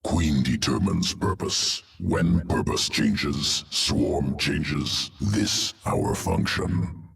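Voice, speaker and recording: An actor playing a cold, clinical alien creature in a game cutscene, speaking detached, clipped, broken sentences. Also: male voice, very deep voice, very slow, gravelly, a bit bright, distant mic, exaggerated voice. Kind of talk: video game cutscene acting.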